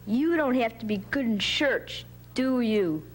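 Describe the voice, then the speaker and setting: Storybook kid: a curious young boy, high voice, unhurried, full of questions, played by a woman narrator. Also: expressive. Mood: eager. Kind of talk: storybook reading.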